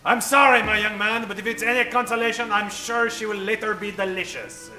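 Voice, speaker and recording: A man's voice: high voice, fairly even intonation, brisk, pushed and bright, reverberant, soft background music, low-quality recording. A man playing a pompous, fussy doctor in a comic old-time radio play, speaking theatrically with formal diction. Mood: smug, dry. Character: pompous, fussy older male doctor, grimly comic scientist.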